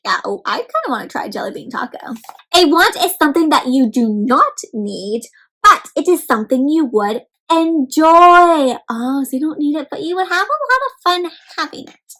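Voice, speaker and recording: A woman doing an animated, interactive picture book read-aloud for children, full of questions to the listeners. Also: sits high, moderate pace, rough recording.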